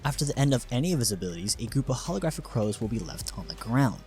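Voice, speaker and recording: A man gives scripted explainer narration as the host of a gaming video. His voice sits at an average male pitch and he explains step by step, dropping in informal asides. Music plays behind him. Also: big pitch swings, fast talker, a little mellow. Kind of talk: scripted explainer narration about a game character concept.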